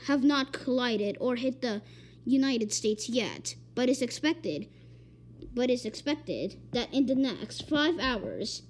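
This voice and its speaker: A woman reading a made-up emergency alert, halting and stumbling over repeated words. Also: a little high, darkish tone, low-quality recording. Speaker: amateur emergency alert announcer. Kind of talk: improvised emergency broadcast reading.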